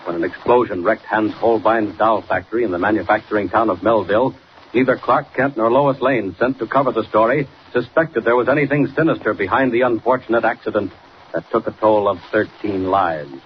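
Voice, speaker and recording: A male announcer with a lowish voice reads dramatic serial narration in 1940s radio style. The recording is muffled, with faint noise. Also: fairly quick.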